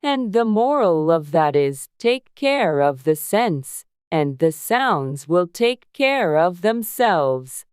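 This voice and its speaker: Slow, pompous, moralizing Duchess. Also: female voice, lowish voice, very animated, darkish tone, clear, resonant tone, no echo, clean studio sound, voice pushed up. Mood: sententious. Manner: pompous, drawn-out sententious delivery. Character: affectionate, moralizing, ugly Duchess, agreeable and pompous. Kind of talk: dramatized storybook audiobook acting.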